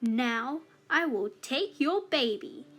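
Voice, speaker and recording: Woman putting on an angry, slow-spoken old witch. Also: high voice, lively intonation, a bit bright, heavily acted. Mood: menacing. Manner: commanding, accusing.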